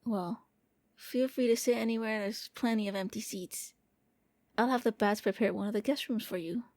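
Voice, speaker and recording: A woman voicing a gentle, lonely young vampire prince in an anime-style ASMR roleplay, speaking softly and coaxingly with a playful, hesitant manner. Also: fairly high pitch, moderate pace, dry recording, close to an everyday voice. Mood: welcoming, tentative.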